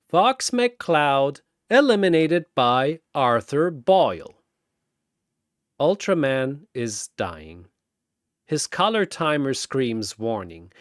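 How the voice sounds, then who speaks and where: A man's voice: medium pitch, no room sound, clean studio sound. A man narrating a scripted battle story dramatically, in theatrical, short, punchy phrases.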